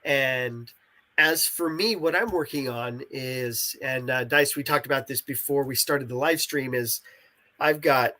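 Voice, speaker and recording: Nasal, bright-voiced man chatting. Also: medium pitch, a little slow. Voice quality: congested, nasal voice. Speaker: game creator, interviewee. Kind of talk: casual conversational interview.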